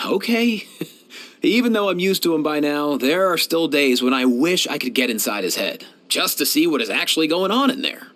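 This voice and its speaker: Easygoing young male game vet, kind and slangy, lightish pitch, very animated. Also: normal speed. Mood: amused, easygoing. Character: laid-back, kindly young male veterinarian. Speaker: video game character voice actor. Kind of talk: game voice lines, conversational.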